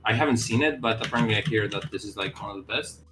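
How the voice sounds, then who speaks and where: A man with a fairly low voice chats loosely on a live game dev stream. He rambles, fills gaps with "like" and swears in passing. The room sounds echoey, with faint music underneath. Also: fast. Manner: rambling, heavy on "like" fillers, casual swearing. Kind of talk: casual live-stream chat.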